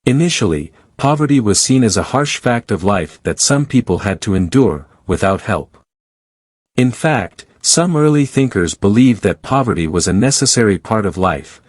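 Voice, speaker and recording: A man's voice: fairly low pitch, animated, moderate pace, dry recording, clean studio sound. A man reading prepared passages aloud as scripted exam-practice examples.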